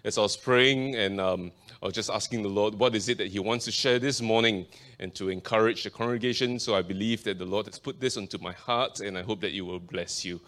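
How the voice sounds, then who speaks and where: A man's voice: lowish voice, brisk, a bit bright. A man from Singapore with a Singaporean accent, preaching as a guest speaker, opening in fervent prayer and reading scripture aloud. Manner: earnest, fervent praying with many repeated invocations of the Lord.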